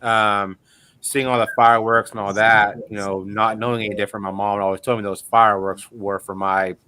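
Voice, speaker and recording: A man's voice: on the low side, little pitch movement, brisk, pushed and bright, clear, resonant tone, low-quality recording. A man in his forties hosting a casual livestream chat, telling rambling, self-deprecating stories over a call mic. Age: 40s. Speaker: host.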